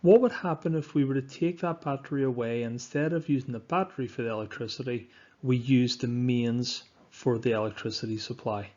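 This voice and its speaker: A man teaches science over slides in a fairly low voice. He is instructive and deliberate, leaning on key words for emphasis. The recording is a little dull and lo-fi. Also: a little mellow. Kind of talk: scripted lesson narration over slides.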